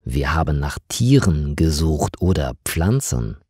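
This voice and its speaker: A man voicing a calm, eerie woman colonist on Mars in an audiobook, explaining things in a measured, solemn way. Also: rumbling low, husky, dry recording, clean studio sound, full character voice. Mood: calm, grave.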